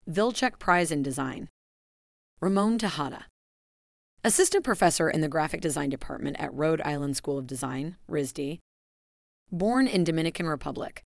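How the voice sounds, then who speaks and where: Low-voiced woman reading formal announcements. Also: creaky, no echo, studio quality. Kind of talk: scripted narration.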